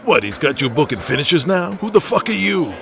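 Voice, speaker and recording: A man's voice: on the high side, big pitch swings, a little fast, creaky, over music, voice pushed up. A man playing a surly, suspicious pro wrestler in an audio drama, grudging and challenging in manner. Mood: indignant.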